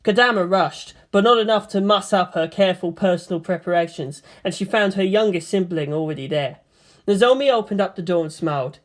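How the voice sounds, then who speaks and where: A woman's voice: fairly low pitch, brisk. A woman narrating a scripted story in the manner of an audiobook reader. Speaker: fan fiction reader.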